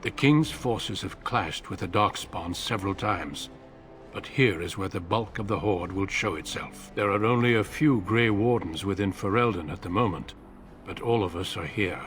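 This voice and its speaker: Low-voiced, solemn veteran warrior commander. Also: male voice, a bit bright, soft background music. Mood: grave resolve. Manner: measured, formal, solemn. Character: grave seasoned warrior commander, dutiful, wary and courteous. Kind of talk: fantasy video game acting.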